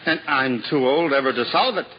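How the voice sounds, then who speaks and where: A man's voice: medium pitch, a little fast, a little hiss or hum, lightly acted. A man playing a humble aging juggler turned lay brother in a 1950s radio drama, speaking hesitantly and apologetically. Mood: apologetic. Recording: dull old radio broadcast.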